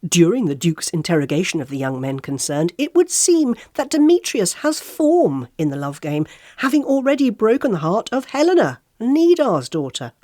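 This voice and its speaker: A woman's voice: mid-range voice, big pitch swings, moderate pace, darkish tone, no room sound, clean studio sound, natural voice. A woman playing a gossipy tabloid website reporter, reading a scripted column in an arch, theatrical, teasing way. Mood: mock-shocked, teasing.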